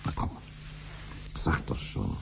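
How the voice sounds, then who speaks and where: A man's voice: takes it slowly, fairly bright tone. A man acting an impatient, scheming husband and father in a 1970s German radio drama, speaking colloquially in a hesitant, pressing way. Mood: pressing, curious. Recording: slightly noisy 1970s radio recording with hum.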